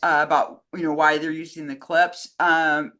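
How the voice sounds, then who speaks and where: A woman's voice: low-pitched, level tone, normal speed, a bit bright. A woman co-hosting a talk show in a casual, conversational style, speaking off the cuff. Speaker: co-host, communications director fielding questions. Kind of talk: casual chat.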